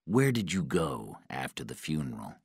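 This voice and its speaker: A man voicing a cold male interrogator, calm, measured and threatening, in an audiobook. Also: rumbling low, breathy edge, no room sound, clean studio sound, exaggerated voice. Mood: calm probing. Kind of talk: audiobook character dialogue.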